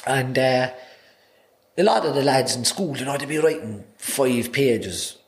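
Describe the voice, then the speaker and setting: A man's voice: medium pitch, animated, unhurried. A man in his thirties with a Cork Irish accent, a podcast guest chatting casually and rambling through stories with many restarts. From Ireland. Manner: rambling storyteller, many restarts and repeated words. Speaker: podcast guest, singer-songwriter. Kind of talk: casual chat. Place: small podcast studio indoors. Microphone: desk mic on boom arm.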